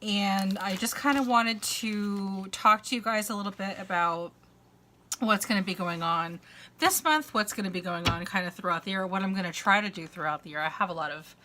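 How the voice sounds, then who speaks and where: A woman's voice: mid-range voice, normal speed, pushed and bright, a little hiss or hum. A middle-aged woman vlogging from home in a long, rambling casual chat, congested and fighting a dry cough, with many uhs and restarts. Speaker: crafter and vlogger. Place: at home, indoors.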